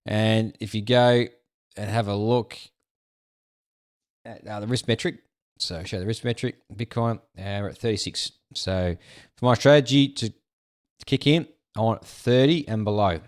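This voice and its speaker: A young Australian man in his twenties casually talking through market charts, rambling with drawn-out fillers and a frequent "okay". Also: on the low side. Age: 20s. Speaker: crypto video host.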